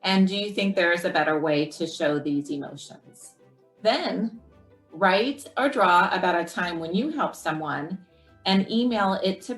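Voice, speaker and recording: A woman hosting a children's story time, speaking clearly and encouragingly to young listeners. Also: on the low side, echoey room. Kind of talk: friendly children's program wrap-up.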